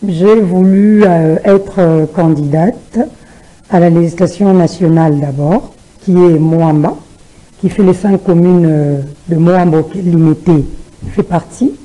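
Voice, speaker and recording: A woman from Kinshasa with a Congolese French accent, answering studio interview questions as a political candidate, with a halting delivery. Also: on the low side, fairly even intonation, warm tone, rough recording. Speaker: parliamentary candidate and party spokesperson. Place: television studio. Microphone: gooseneck desk microphone.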